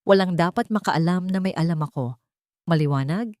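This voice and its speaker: A woman's voice: on the low side, fairly quick, a little mellow, no echo, studio quality, close to an everyday voice. Woman voicing a commanding, scheming rich man. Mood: cold, commanding. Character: wealthy, commanding man secretly plotting revenge. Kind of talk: audio drama storytelling.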